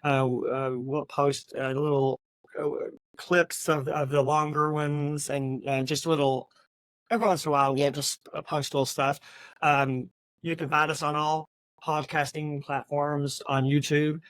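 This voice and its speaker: A man co-hosting a podcast in casual book chat, speaking haltingly and often repeating words as he goes. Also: fairly high pitch, level tone, a bit bright, dry recording. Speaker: podcast co-host.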